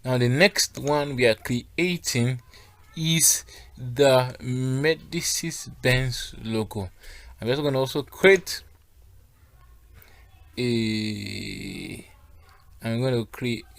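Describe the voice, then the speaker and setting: A man teaches graphic design software in a step-by-step tutorial. His voice sits at a medium pitch. He speaks instructionally, guiding learners through each action and checking that they are keeping up. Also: very slow, creaky, pauses often.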